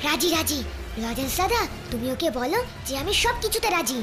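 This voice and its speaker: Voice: sits high, sing-song, a little fast. A performer voicing a plucky, caring child ghost in a children's cartoon, eager and exclamatory. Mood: resolute. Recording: children's music behind.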